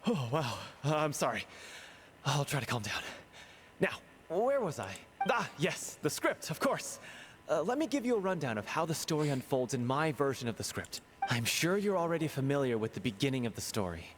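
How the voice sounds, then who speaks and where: A man playing an excitable playwright in an anime-style game, with a dramatic, expressive storyteller's manner. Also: a little high, normal speed, a little hiss or hum. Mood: starstruck, flustered. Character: excitable, theatrical male playwright. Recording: faint music under the voice.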